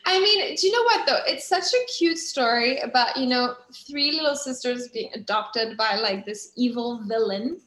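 Swiss-born woman doing a casual interview, bubbly and giggly, highish voice, echoey room. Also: lively intonation, normal speed, few pauses, a bit bright. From Switzerland. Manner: enthusiastic, giggly. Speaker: guest, actress and producer. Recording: roomy, echoing sound.

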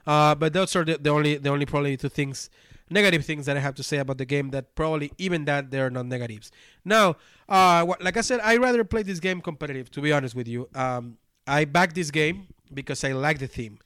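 A man's voice: on the high side, fairly quick, fairly bright tone, no room sound, clean studio sound. Spanish-accented man reviewing a board game. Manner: rambling, many restarts and fillers. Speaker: podcast host.

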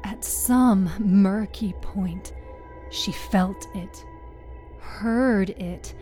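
Slow, mellow woman narrating dramatic fiction. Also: mid-range voice. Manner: deliberate, halting, lingering on short phrases. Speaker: storyteller, narrator of a serial fiction podcast. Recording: music under the voice. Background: music.

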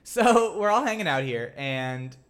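A young woman from outside Boston with a Boston accent, hosting a casual podcast chat, playful and quick to laugh. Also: deep voice, lively intonation. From outside Boston, Massachusetts. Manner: playful, laughs often, mock-formal asides. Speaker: podcast host.

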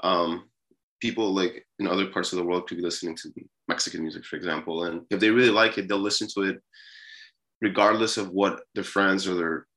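A young man from Peru, a musician guest, talking casually in an interview with a relaxed, rambling manner and many fillers. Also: low-pitched, fairly quick.

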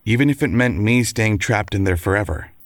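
An actor playing a devoted, argumentative lawyer husband in an audio drama, with wry courtroom-style banter. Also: male voice, lowish voice, lively intonation, brisk, no echo, clean studio sound, close to an everyday voice. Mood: earnest, tender.

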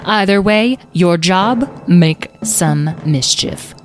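A woman voicing an excitable fairy guide who gives quick, urgent instructions. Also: on the low side, faint music behind.

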